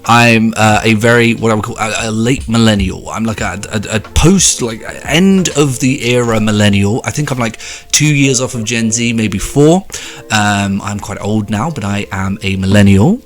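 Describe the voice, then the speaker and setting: A man in his late twenties giving a sarcastic, profane commentary monologue, with sudden pitch jumps for emphasis. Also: moderate pace, faint music behind. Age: 20s. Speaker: video commentator.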